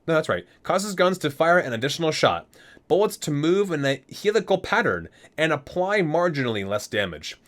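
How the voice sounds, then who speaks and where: A man's voice: a little high, very animated, normal speed. A man doing an ASMR reading, reciting expressively and dropping in casual, murmured offhand remarks. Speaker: video creator reading aloud from a game guide book.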